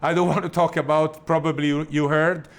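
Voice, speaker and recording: Host: man, voice sits a bit high, casual and halting, reads out viewer questions. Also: little pitch movement. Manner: broken English phrasing, halting. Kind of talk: casual live chat.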